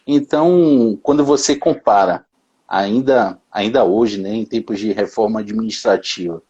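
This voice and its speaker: A man tells his story in a relaxed interview. His voice sits low and a bit husky. He talks easily and often tags his sentences with a quick question. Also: dull audio. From Bahia, Brazil. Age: 30s. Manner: relaxed, frequent tag questions. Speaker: interview guest. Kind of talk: casual interview answers.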